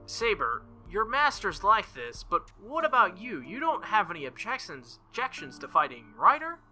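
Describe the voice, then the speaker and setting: A man voicing a confident, teasing young woman mage who questions briskly, in a visual novel. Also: high voice, huge pitch swings, sharp tone, faint music behind, voice pushed up, exaggerated voice. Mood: probing.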